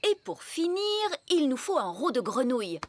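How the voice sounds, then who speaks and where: A woman playing a brisk, bossy fairy nanny in a French cartoon dub, speaking in a prim, formal, theatrical way. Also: high voice, very animated, a little hiss or hum. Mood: prim, impatient. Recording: music behind the voice.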